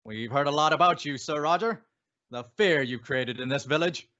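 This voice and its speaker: A man playing a bold, inquisitive gentleman detective in an old-style radio mystery, theatrical and self-assured. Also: a little high, moderate pace. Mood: defiant. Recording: dull lo-fi radio play recording.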